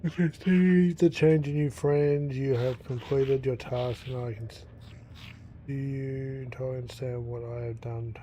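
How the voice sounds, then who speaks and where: A man with a soft, mellow voice streams a game, sounding tired and a little stuffed up. He rambles casually, thinking out loud. There is faint noise behind him. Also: medium pitch, steady tone, a little slow. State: tired, congested. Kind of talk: casual livestream chat over gameplay.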